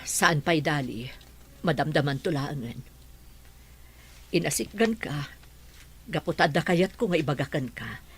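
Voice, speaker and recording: A woman's voice: low-pitched, lively intonation, a little slow, long pauses, breathy edge, full character voice. A woman playing an anxious, caring middle-aged mother in an audio drama.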